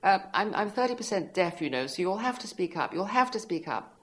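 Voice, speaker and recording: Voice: mid-range voice, big pitch swings, a little fast, slight background noise, voice pushed up, close to an everyday voice. A performer voicing a snooty, impatient, hard-of-hearing woman theatre director in an affected voice.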